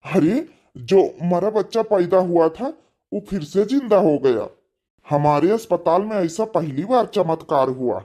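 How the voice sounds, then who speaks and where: A man's voice: high voice, expressive, unhurried, dark tone. A man voicing a polite hospital doctor in a cartoon drama, speaking formally and courteously. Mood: courteous, amazed. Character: polite hospital doctor announcing a miraculous birth.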